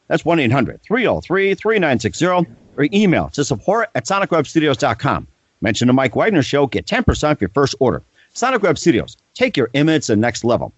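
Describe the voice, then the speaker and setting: Podcast host guy, kinda high voice, lots of ums and restarts, dull dry lo-fi sound. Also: dry recording. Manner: halting, many ums and restarts. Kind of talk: casual interview and self-read sponsor spots.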